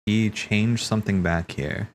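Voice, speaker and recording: A man streaming casual, rambling commentary on game cutscenes, thinking aloud in a halting way and repeating himself. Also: on the low side, fairly even intonation, a little hiss or hum.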